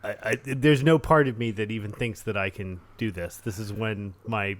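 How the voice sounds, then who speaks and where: A man's voice: lowish voice, moderate pace. A man playing a tabletop role-playing game in a casual, theatrical and wry way. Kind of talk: casual in-character role-play.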